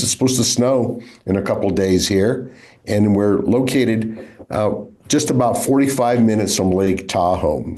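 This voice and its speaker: A man with a low, mellow voice presenting his destination to travel advisors. He talks in a steady, informative way with frequent fillers. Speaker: destination representative. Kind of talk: promotional panel presentation.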